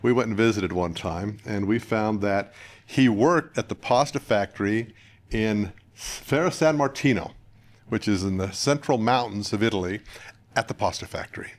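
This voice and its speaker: An older American man from northern Utah, a guest candidate giving plain-spoken, conversational interview answers in measured phrases. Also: fairly low pitch. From northern Utah, United States. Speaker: political candidate, podcast guest.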